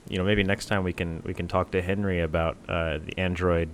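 A man with a very low voice talks through software plans in a loose, relaxed podcast chat. He fills his sentences with "uh" and often restarts them. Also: fairly quick, a little hiss or hum. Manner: relaxed, many uh fillers and restarts. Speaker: podcast co-host, software team member. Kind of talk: casual tech podcast chat.

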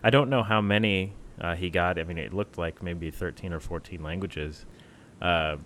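Very deep-voiced man chatting casually. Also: normal speed, slight background noise. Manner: relaxed, many uh fillers and restarts. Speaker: podcast co-host, software team member. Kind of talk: casual tech podcast chat.

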